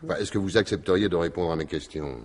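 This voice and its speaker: An actor playing a courteous, dogged French police commissioner in a radio mystery, with hesitant, probing questions. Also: male voice, sits low, fast. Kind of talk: 1950s-style French radio mystery acting.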